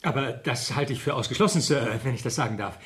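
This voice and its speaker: An actor playing an eager, nervous young police constable in a radio crime drama, hesitant and deferential. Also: male voice, mid-range voice, quick pace, fairly bright tone, lightly acted. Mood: earnest, deferential. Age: young adult. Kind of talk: 1970s German radio crime drama acting.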